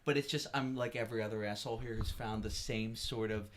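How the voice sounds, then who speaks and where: A man's voice: on the low side, fairly even intonation, fast talker, a little hiss or hum. A man from Philadelphia, a podcast guest and singer, chatting candidly with a joking, self-mocking manner on a headset mic in a podcast studio. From Philadelphia, USA. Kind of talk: casual chat.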